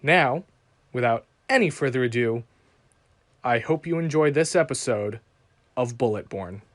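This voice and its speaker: Upbeat male podcast host, slow deliberate delivery, dry close sound. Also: mid-range voice, expressive, no echo. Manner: enthusiastic, promotional. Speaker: podcast producer and announcer. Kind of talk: reading a sponsor message and intro.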